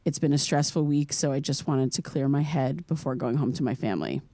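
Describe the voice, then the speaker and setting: An actress playing an earnest, curious young American podcaster in an audio drama, speaking in a conversational, slightly hesitant way. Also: female voice, rumbling low, brisk, dark tone, no room sound. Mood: casual, candid. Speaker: podcast host character.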